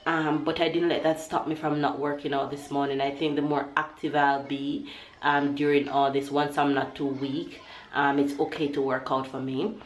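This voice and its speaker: A woman's voice: low voice, flat delivery, normal speed. A woman vlogging at home with a stuffy nose, talking casually to camera and urging viewers to work out. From Jamaica. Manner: motivational, upbeat, preaching about workouts. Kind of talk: casual vlog chat to camera. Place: at home, indoors. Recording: echoey room with faint noise.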